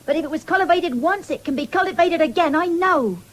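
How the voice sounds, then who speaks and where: High-voiced, nagging young country wife. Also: female voice, fairly quick. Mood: insistent urging. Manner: urging, reproachful. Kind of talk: 1970s British radio drama acting.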